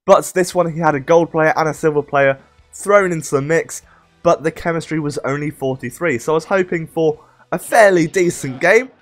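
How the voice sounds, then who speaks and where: A young man hosting casual game commentary, his voice croaky and rough from a sore throat, chatty and upbeat. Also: a little high, moderate pace, no echo, faint music behind. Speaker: gaming channel host.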